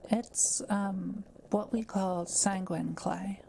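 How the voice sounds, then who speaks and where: A woman playing a kind, quiet forest witch in an audio roleplay, speaking gently and patiently as she explains things to the listener. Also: medium pitch, fairly even intonation, slow pace, creaky, slight background noise. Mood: focused, explanatory. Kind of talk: soft-spoken audio roleplay addressed to the listener.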